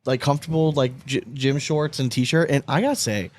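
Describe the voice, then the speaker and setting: A man's voice: mid-range voice, normal speed, darkish tone. An American man co-hosting a casual sports podcast, chatting informally with loose, profane banter. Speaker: podcast co-host.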